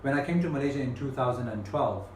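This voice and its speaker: A man with a British accent presents a webinar in a measured, unhurried way, often pausing on "um" and "uh". His voice sits at an ordinary pitch. It sounds echoey, picked up from a distance with faint background noise. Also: animated. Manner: measured, with frequent ums and uhs. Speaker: webinar host. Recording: echoey room, mic at a distance.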